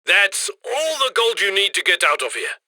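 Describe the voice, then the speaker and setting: A man playing a brash, upbeat heist crew handler in a video game, giving brisk, cocky commands. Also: high voice, very animated, sharp tone, no room sound, clean studio sound, full character voice. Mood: urgent, businesslike. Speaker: mission handler giving instructions over comms.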